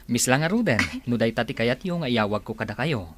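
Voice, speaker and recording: Guy acting smitten office boss in ilocano radio drama, lively flirty delivery, bit of background noise. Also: medium pitch, brisk, natural voice. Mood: flirtatious.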